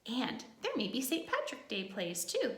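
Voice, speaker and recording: A woman's voice: on the high side, huge pitch swings, moderate pace, fairly bright tone, roomy sound. A woman teacher reading a picture book aloud to young children, adding simple, encouraging asides as she goes.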